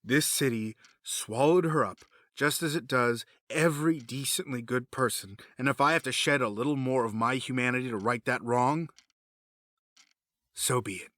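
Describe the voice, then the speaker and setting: A man playing a cold, grieving villain in an audio drama, menacing, sardonic and quick to justify himself. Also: medium pitch, a bit bright, no echo, clean studio sound, natural voice. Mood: bitter, menacing. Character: cold, obsessed male villain, a grieving widower running experiments.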